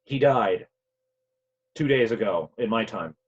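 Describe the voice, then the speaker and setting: A man playing an earnest, grieving grown son from the future in a table read, with a halting, emotional delivery. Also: lowish voice, lively intonation, husky. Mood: grieving, urgent. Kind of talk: virtual table read of a play. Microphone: video call. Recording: dull, lo-fi online call audio.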